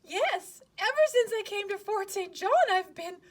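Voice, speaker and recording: A woman voicing a shivering, friendly little storybook character who talks haltingly through sneezy breaths. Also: very high voice, animated. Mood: sneezy, chatty.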